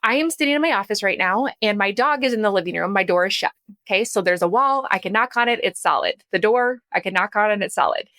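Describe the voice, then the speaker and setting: A woman's voice: medium pitch, lively intonation, fast talker, bright, forceful tone, no echo, clean studio sound. A woman speaking as a podcast guest and coach, explaining things in an emphatic, conversational way and checking that listeners follow. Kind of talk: animated explanatory talk.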